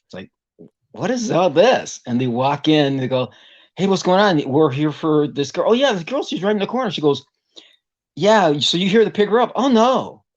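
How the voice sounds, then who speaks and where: A man's voice: fairly high pitch, very animated, normal speed, dull audio. A middle-aged man from Puerto Rico with a tenor voice, a guest vocalist telling stories animatedly in a casual interview and acting out other people's voices.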